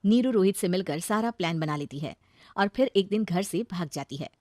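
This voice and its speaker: A woman's voice: fairly low pitch, expressive, brisk, a little mellow, dry recording, studio quality. A woman narrating a scripted moral story as the storyteller.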